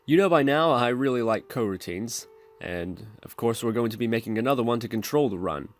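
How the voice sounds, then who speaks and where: A man's voice: medium pitch, lively intonation, a little fast, soft background music. A man hosting a programming tutorial, narrating step-by-step instructions in a screencast style.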